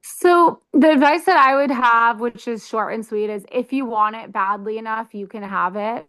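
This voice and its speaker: A young woman from the United States talks casually in an interview. Her voice is smooth and clear, and her chat is eager and full of little fillers. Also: a little high, normal speed, a bit bright. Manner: enthusiastic, chatty with many fillers. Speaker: interview guest.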